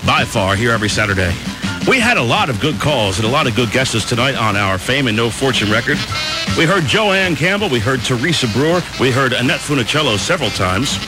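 A man's voice: mid-range voice, very animated, a little fast, poor audio. A man hosting an oldies radio show with upbeat, chatty, informal patter, captured off the air. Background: music.

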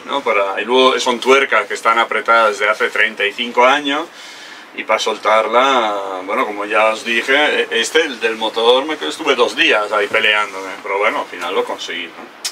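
Deep, bright-voiced man, Italian first language, chatty boat vlogger, faint hiss behind. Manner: chatty, self-deprecating, explains repairs step by step. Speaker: sailing vlogger. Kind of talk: casual explaining while working. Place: aboard a sailboat.

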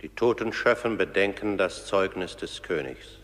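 A man's voice: deep voice, normal speed, close to an everyday voice. A man narrating a radio play in a solemn, scripted style. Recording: long hall reverb.

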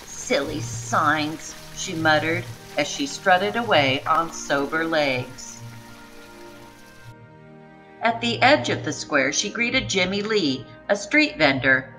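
A woman reading a children's storybook aloud with expressive, dramatic narration. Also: low voice, slow, soft background music, rough recording.

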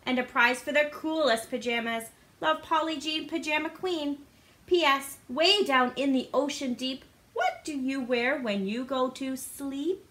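A woman reading a storybook aloud to young children in an animated, sing-song way, often putting questions to the listeners. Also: high voice, unhurried. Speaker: children's read-aloud presenter. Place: living room indoors. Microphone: device camera a short distance away.

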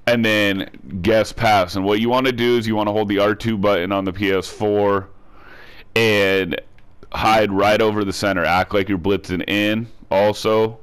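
A man's voice: on the low side, steady tone, moderate pace, clear, resonant tone. A man with a cold and a stuffed-up nose, talking through a video game defense step by step in a casual voice-over, often repeating and restarting himself. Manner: repetitive step-by-step instruction with frequent restarts. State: congested from a cold. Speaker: gaming tutorial creator.

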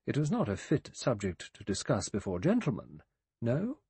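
A man's voice: medium pitch, huge pitch swings, normal speed, mellow, slightly rough, no room sound, exaggerated voice. A man voicing a righteous elderly English spinster in an audiobook, precise, dry and sanctimonious in manner. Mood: serene. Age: older.

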